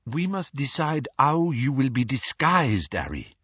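A man's voice: mid-range voice, very animated, a little slow, a bit bright, husky, dry recording, voice pushed up, heavily acted. A man voicing a poised, formal young woman who speaks precisely. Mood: cool. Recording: dry, dull lo-fi audio.

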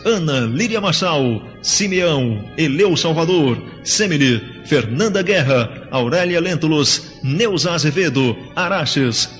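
A man announcing the cast and crew credits of a radio drama in a measured, formal manner. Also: a little high, a bit bright. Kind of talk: reading production credits. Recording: reverberant, muffled, music behind.

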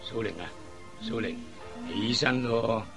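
A man's voice: mid-range voice, takes it slowly, over music. A man playing a worried, old-fashioned middle-aged father in a Cantonese radio drama, fretful and hesitant. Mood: nagging. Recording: faint background noise.

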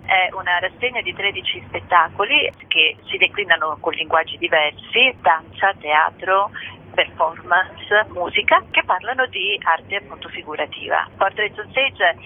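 A woman's voice: lowish voice, moderate pace. A woman explaining her work as an interview guest, speaking over a telephone. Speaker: festival curator, interview guest. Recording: thin phone line, faint noise.

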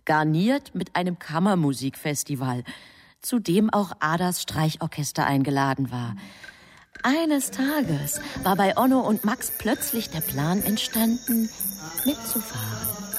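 Low-voiced, composed narrating angel. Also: female voice, sing-song, a little slow, breathy edge, soft background music, exaggerated voice. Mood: composed, explanatory. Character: composed angel reporting to an impatient superior. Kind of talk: scripted radio drama narration.

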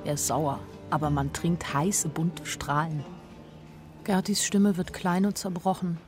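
A woman's voice: lowish voice, measured pace, over music, natural voice. An actress playing a candid, naive nineteen-year-old woman who tells her own story plainly in a radio drama. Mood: anxious, wistful. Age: young adult. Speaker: actress narrating as the main character. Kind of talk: radio drama first-person narration.

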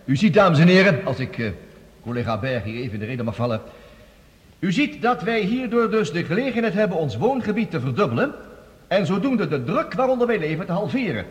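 A grand, sardonic statesman of science in a radio drama. His high voice swoops theatrically through formal oratory, with dramatic pauses, in a long echoing hall. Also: very animated. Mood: formal, assured. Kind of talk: radio play acting, formal debate speech. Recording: long reverberant hall, radio play production.

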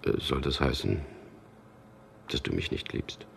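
Dry wry stubborn man in a radio play, super deep gravelly voice, bit of noise. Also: fairly bright tone, heavily acted. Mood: tender. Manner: dry, understated, teasing, deliberate. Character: wry, stubborn man in love, narrating his story. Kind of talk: German radio drama narration and acting.

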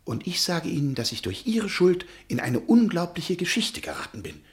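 Casting: naive young scholar, earnest and pleading, lively melody. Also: male voice, high-pitched, moderate pace, full character voice. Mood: anxious. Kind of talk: radio play acting.

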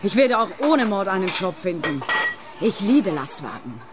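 A woman's voice: mid-range voice, big pitch swings, moderate pace, close to an everyday voice. An actress playing a passionate, defiant woman who longs to drive trucks, dramatic in radio-play style. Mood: defiant, yearning. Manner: impassioned, dramatic. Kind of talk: German-language radio play acting. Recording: clear ambient background noise.

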